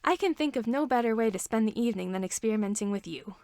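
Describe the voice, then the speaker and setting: A woman voicing a reserved, gentle male alien scientist who speaks formally in a storybook reading. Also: fairly high pitch, lively intonation, no room sound, clean studio sound. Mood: tender, assured. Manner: formal, measured wording.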